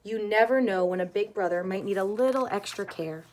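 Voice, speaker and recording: A woman voicing a tender mother raccoon in a storybook, speaking in a gentle, motherly and reassuring way. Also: mid-range voice, brisk, close to an everyday voice. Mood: gentle, explaining.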